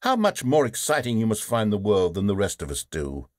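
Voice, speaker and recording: A man narrates a game with biting sarcasm and a condescending edge. His pitch rises and falls in lively sweeps, and the recording is dry and close. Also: a little fast, dry recording.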